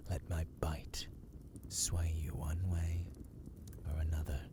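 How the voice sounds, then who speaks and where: A man playing a brooding, self-loathing vampire in a dramatic ASMR roleplay, speaking in a formal, archaic, hushed and breathy manner. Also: a bass voice, completely flat delivery, slow pace, very noisy. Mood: hungry, solemn. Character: brooding, self-loathing male vampire, menacing yet conflicted.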